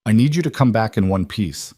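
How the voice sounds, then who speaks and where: A man's voice: fairly low pitch, expressive, fairly quick, mellow, husky, dry recording, studio quality. A man voicing a weary, wary and defiant pregnant wife, guarded and pleading, in audiobook dialogue. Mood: tender worry.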